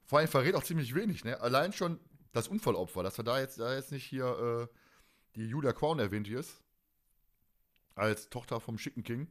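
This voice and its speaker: A man with a mid-pitched voice co-hosts a fan podcast. He talks in a casual, colloquial manner and likes to joke as he goes. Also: lively intonation, fairly quick. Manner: colloquial, jokey. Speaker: podcast co-host.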